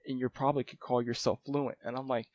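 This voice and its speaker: A man's voice: medium pitch, level tone, fast, a little mellow, lo-fi sound. A man talking casually to camera about his language study, rambling and filling his talk with "like" and "um". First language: English. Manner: rambling, lots of "like" and "um" fillers. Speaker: language-learning vlogger. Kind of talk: casual talking-head update.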